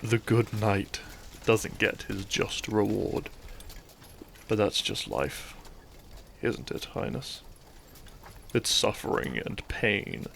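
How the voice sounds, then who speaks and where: A man acts out a brooding young thief and kidnapper in an audio drama. His voice is low and gravelly, and he addresses his captive with mocking courtesy and deliberate pauses, bitter underneath. There is a faint noise in the recording. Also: lively intonation, fairly bright tone, exaggerated voice. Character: bitter young male thief, kidnapper, once a noble's childhood friend. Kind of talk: scripted audio roleplay, addressing the listener.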